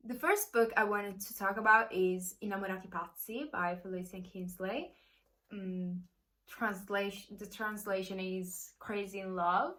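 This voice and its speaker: Young Italian-accented woman, unhurried book vlogger, gropes for words now and then. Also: mid-range voice, steady tone, fairly bright tone. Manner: hesitant, searching for English words, many fillers. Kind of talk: casual book review vlog.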